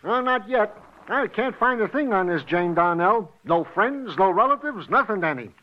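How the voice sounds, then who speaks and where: An actor playing a cheerful, slow-witted police sergeant who talks in an eager, repetitive, breezy way in an old radio drama. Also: male voice, high-pitched, lively intonation. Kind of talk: 1940s radio acting. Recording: dull old radio recording.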